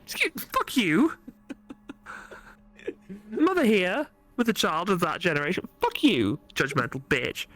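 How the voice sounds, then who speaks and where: A woman's voice: lowish voice, very animated, a little slow, pauses often, a bit bright. A brash, sweary woman co-hosting a let's-play with playful, giggly banter. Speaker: gaming co-host.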